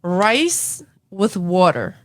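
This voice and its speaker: A young woman co-hosting a casual podcast, blunt and animated, swearing freely as she talks. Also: lowish voice, extremely slow. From Cambodian-American family. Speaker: podcast co-host. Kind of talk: casual chat.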